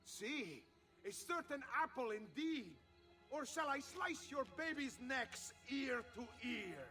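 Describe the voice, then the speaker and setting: A man's voice: very high voice, big pitch swings, takes it slowly, bright, forceful tone, soft background music, heavily acted. A man playing a sly, cruel Italian mercenary in a video game, mock-courteous and menacing, with an Italian accent. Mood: mocking menace. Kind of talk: video game villain acting.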